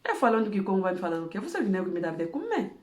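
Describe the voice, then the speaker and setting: A woman's voice: medium pitch, very animated, quick pace, reverberant. A woman from Angola with an Angolan Portuguese accent, giving earnest, emphatic advice in a monologue. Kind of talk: impassioned monologue. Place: home in Germany.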